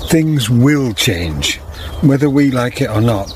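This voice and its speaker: A gentle older weaver in a radio play. He speaks tenderly and simply, like a devoted rustic father, at a middling pitch. Birdsong and clear ambient noise sit behind him. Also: animated, slow. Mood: tender, resigned. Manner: tender, plain-spoken rustic phrasing. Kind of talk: radio drama acting.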